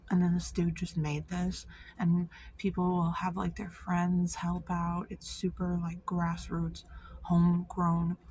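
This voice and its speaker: A woman's voice: lowish voice, darkish tone, a little hiss or hum. A woman giving casual vlog commentary in an informal, slangy way, peppered with "like" and swearing. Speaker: video creator.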